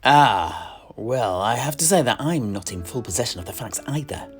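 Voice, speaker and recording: A man plays an exasperated tavern keeper with a scholarly streak in a fantasy audio drama. He is fussy and wordy, easily flustered, at a middling pitch. Soft music sits behind him. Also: lively intonation, moderate pace, close to an everyday voice. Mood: resigned, helpful. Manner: flustered, wordy, mildly formal. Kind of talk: in-character audio drama dialogue.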